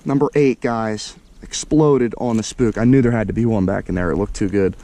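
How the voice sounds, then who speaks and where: An American man narrating his fishing trip from a kayak on a lake in casual, excitable commentary, talking straight to his viewers. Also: fairly low pitch, normal speed, slight background noise. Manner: excitable, slangy, talks to viewers as "guys". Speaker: fishing vlogger. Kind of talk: casual running commentary to camera. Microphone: action camera mounted on the kayak.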